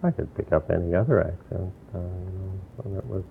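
Gravelly, deep-voiced older man reminiscing. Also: a little fast. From New York, USA. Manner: rambling, reflective storytelling with restarts. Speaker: interviewee. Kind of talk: oral history interview. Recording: tinny phone-like lo-fi recording with faint hiss.

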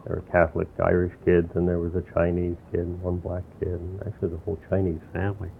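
Gravelly, deep-voiced older man reminiscing. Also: monotone, fast talker. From New York, USA. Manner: rambling, reflective storytelling with restarts. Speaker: interviewee. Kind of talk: oral history interview. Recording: tinny phone-like lo-fi recording with faint hiss.

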